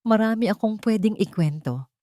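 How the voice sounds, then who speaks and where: A woman's voice: medium pitch, lively intonation, moderate pace, warm tone, no room sound, studio quality, voice pushed up, close to an everyday voice. A woman voicing a smug, desperate male ex-lover who taunts and threatens in a dramatized romance story. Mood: menacing.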